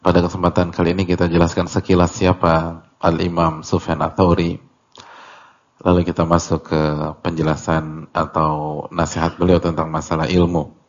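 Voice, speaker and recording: A man giving an Islamic religious lecture as a teacher, speaking deliberately in short phrases with pauses, on a muffled recording. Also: deep voice, completely flat delivery. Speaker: Islamic teacher leading a religious study class. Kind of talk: religious lecture explaining a classical text.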